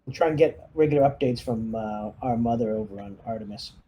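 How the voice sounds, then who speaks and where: A man with a mellow, rounded voice talks through tactics as a player in a tabletop game. He thinks aloud in a casual, collaborative way, pausing to search for words. There is some background noise in the room. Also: medium pitch, rough recording. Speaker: player in a tabletop roleplaying game, leading the squad. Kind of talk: casual in-character tactical planning.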